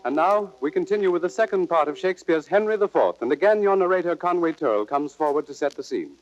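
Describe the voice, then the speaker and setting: A man announcing formally for a radio network in 1930s style, over loud crowd noise on an old recording. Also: fairly high pitch, expressive, fast talker, dull audio, soft background music.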